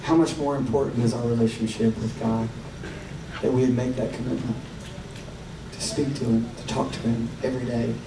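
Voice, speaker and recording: A man's voice: medium pitch, level tone, normal speed. A man in his thirties with a Southern Tennessee accent, preaching a casual, joking live sermon that swells into fervor. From Tennessee, USA. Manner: casual, joking, drawn out, rising to fervent. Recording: echoey hall, distant mic, room noise.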